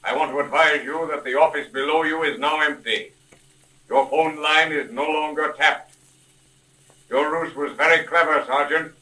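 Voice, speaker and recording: A man playing a haughty, mocking, all-knowing disembodied voice in a 1930s radio mystery, speaking pompously and deliberately. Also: on the high side, fairly even intonation, pushed and bright. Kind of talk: 1930s radio drama acting. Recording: distant-sounding old radio recording.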